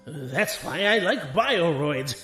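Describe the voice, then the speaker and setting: A man's voice: a little high, animated, takes it slowly, bright, forceful tone, full character voice. A man playing a jovial, folksy old professor in an audio drama, teasing and avuncular. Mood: jovial, persuasive. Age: older. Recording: roomy, echoing sound with faint music.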